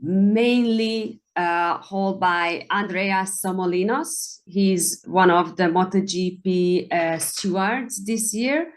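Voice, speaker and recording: Woman, co-host, casual chat, hesitant, stops to hunt for words, mid-pitched. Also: slow, hardly any pauses. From Hungary. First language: Hungarian. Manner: hesitant, searches for words, apologetic. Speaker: podcast co-host.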